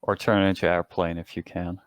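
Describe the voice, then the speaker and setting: A man's voice: sits low, flat delivery, a little fast, dry recording. A man chatting casually as a player in a tabletop game. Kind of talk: casual chat.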